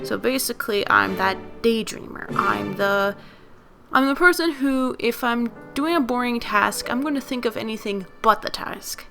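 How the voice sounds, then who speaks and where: A tired young woman with a raspy, lower voice, talking casually and unscripted in a vlog, full of fillers and restarts. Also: normal speed, bright, forceful tone. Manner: rambling, many fillers and self-corrections. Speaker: video creator. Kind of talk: unscripted casual vlog talk over speed-drawing. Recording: music playing underneath.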